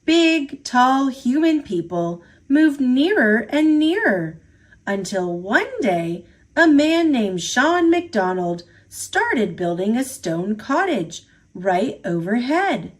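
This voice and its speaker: A woman reads a children's storybook aloud. Her voice sits fairly high and moves through a very animated range of pitch. She takes her time, giving the story an expressive, unhurried delivery. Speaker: storybook reader.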